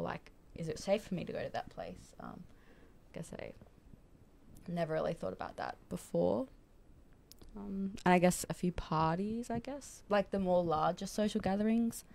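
A woman's voice: lowish voice, gravelly. A young Australian woman, a university student, chatting hesitantly with many fillers on a church panel into a handheld mic. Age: young adult. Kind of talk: casual panel chat. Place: church studio set, indoors. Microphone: handheld microphone.

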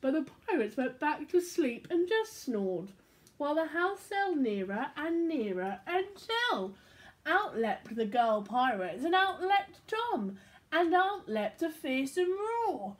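A young woman with a high, very lively voice tells a children's story. She takes her time, moving from hushed phrasing to excited emphasis as a storyteller would. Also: takes it slowly. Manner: expressive, dropping into hushed whispers and rising to excited delivery. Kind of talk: animated picture-book read-aloud. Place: small domestic room indoors.

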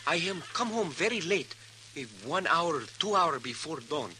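A man playing a roguish Mexican townsman in a 1950s radio western, speaking halting broken English with Spanish words and a Mexican accent. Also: a little high, lively intonation, close to an everyday voice. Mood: eager, confiding. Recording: noisy humming 1950s radio recording.

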